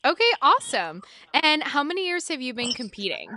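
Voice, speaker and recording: A woman's voice: high-pitched, very animated, a little fast, sharp tone. A woman hosting a podcast, presenting and interviewing. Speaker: podcast host.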